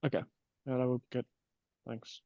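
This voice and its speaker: A man talking through an issue in a work meeting on an online call, with halting, hesitant phrasing. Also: mid-range voice, level tone, dry recording. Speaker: committee member in a technical meeting. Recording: muffled, dull call audio.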